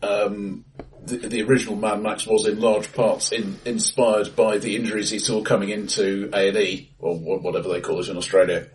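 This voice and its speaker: A man's voice: fairly low pitch, level tone, moderate pace, a little mellow, distant mic. A man co-hosting a film podcast in casual chat, dry and precise, with stammering restarts. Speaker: podcast co-host. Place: acoustically bright room, recorded face to face. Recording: roomy, off-mic sound.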